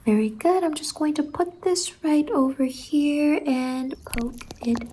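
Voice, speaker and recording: A woman playing a whimsical, reassuring salon stylist in an ASMR roleplay, speaking softly and gently on a close microphone and often slipping into whispers. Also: high voice. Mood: soft, encouraging. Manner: gentle, reassuring, drawn-out words. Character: whimsical, reassuring female fever-dream hair salon stylist.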